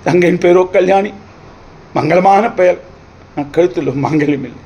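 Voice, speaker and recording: A man playing a wronged, defiant accused brother pleading his case in court, declaiming in theatrical old Tamil film oratory with dramatic pauses. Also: high voice, mellow, highs cut off. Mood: sorrowful.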